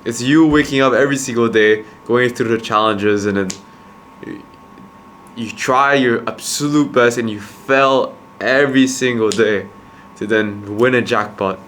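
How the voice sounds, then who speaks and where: A man's voice: medium pitch, a bit bright, slight background noise. A young man from Quebec whose first language is Khmer, talking to camera in a casual, reflective monologue, restarting and repeating words as he thinks. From Quebec, Canada. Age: young adult. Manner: halting, many restarts and repeated words, earnest. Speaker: vlogger, entrepreneur.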